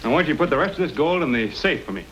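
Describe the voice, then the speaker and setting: A man's voice: on the high side, lively intonation, rapid-fire, fairly bright tone, low-quality recording. An actor playing a courteous but bold, strong-willed male frontier adventurer in an old-time radio western. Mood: firm, wary. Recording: old radio recording with hiss.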